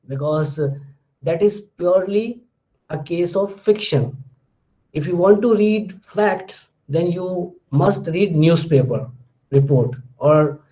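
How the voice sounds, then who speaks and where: A man's voice: sits high, dark tone, lo-fi sound. A man from India with an Indian English accent and Hindi as his first language, giving a methodical classroom lecture on linguistics and tagging his points with "fine". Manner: methodical, recaps terms, tags points with "fine".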